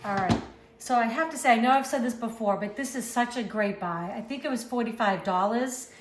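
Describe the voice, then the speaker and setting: A woman's voice: on the high side, a little fast, echoey room, noisy background. A woman from the Northeast US with a Northeastern accent hosts a cooking channel, chatting casually as she works in her home kitchen. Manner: chatty, upbeat, talks while working.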